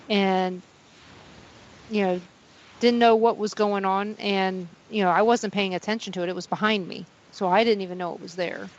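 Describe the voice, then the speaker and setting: Low-voiced woman radio host, chuckling. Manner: rambling, chuckling storyteller. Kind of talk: casual paranormal talk radio chat. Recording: dull lo-fi sound with faint hiss.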